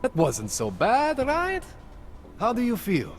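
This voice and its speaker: An excitable eccentric tech doctor. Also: male voice, very high-pitched, slow, a little hiss or hum, heavily acted. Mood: eager. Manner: eager, boastful, joking. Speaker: tech specialist fixing implants. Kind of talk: video game cutscene acting.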